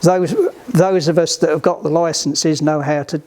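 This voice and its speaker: A man giving hands-on instruction to a group in a halting, deliberate, emphatic way, on an amateur recording. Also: on the high side, steady tone, darkish tone. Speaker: sailing club instructor giving radio training.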